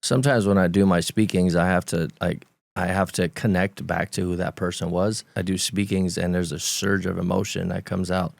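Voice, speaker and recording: A Romanian-born man in his forties with a Romanian accent, English his second language, telling his story as a guest on a talk show, halting and repeating words as he recalls. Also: low-pitched, flat delivery, vocal fry, no room sound. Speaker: podcast guest. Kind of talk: candid conversational storytelling.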